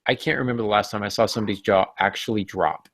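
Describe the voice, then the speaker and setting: Male co-host, gravelly and fairly low, jokey casual discussion, dry close sound. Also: rapid-fire, fairly bright tone, dry recording. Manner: jokey, acts out mock quotes. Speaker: podcast co-host. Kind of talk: casual writing-advice discussion.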